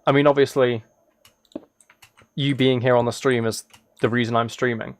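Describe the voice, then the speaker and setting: A man's voice: medium pitch, normal speed. Rambling man chatting on a casual livestream. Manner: rambling, thinking aloud; soft-spoken, relaxed. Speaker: live streamer. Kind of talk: casual livestream chat while gaming.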